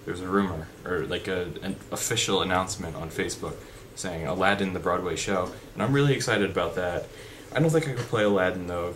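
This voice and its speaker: A young man with a deep voice chatting unscripted in a vlog, rambling with fillers and restarts. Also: a bit bright. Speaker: vlogger. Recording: background noise.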